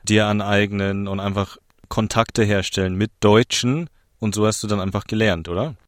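A man's voice: fairly low pitch, fairly even intonation, normal speed, no echo, clean studio sound. A man interviewing a guest in a casual conversation, prompting with frequent short affirmations and leading questions.

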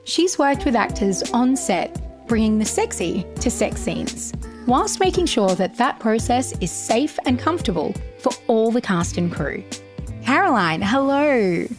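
A woman's voice: sing-song, a little slow, over music. A woman hosting a podcast, introducing her guest and asking curious questions that lift toward the end. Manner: bright, curious, rises in pitch when asking questions. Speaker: podcast host. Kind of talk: podcast interviewing.

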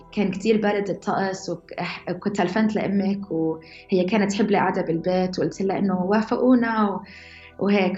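A woman's voice: mid-range voice, darkish tone. A young woman with a mixed Levantine Arabic accent telling her own story casually, often restarting mid-phrase. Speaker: interviewee. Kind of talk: personal storytelling. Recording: roomy, slightly dull, music under.

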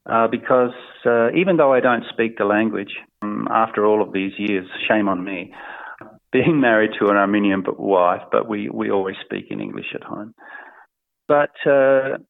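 A man's voice: fairly low pitch, animated, moderate pace, gravelly, no echo. Hesitant Australian man on a tinny line. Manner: hesitant, many fillers, warm chuckles. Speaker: interviewee. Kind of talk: casual interview answers. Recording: tinny phone-like line, dry.

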